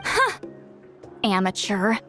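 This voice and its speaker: A woman's voice: medium pitch, huge pitch swings, takes it slowly, sharp tone, soft background music, heavily acted. A woman playing a haughty, youthful-sounding, centuries-old shaman in a video game, opinionated and easily flustered. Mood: indignant, scornful.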